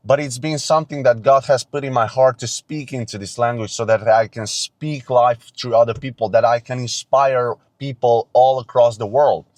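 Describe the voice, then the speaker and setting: A man's voice: medium pitch, steady tone, moderate pace, hardly any pauses, a little mellow, no room sound. Young man, tired after no sleep, intense unscripted pep talk, close dry sound. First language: Romanian. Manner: earnest, intense, repeats himself, preachy. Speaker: self-improvement vlogger. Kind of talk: unscripted motivational monologue. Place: forest outdoors at dawn.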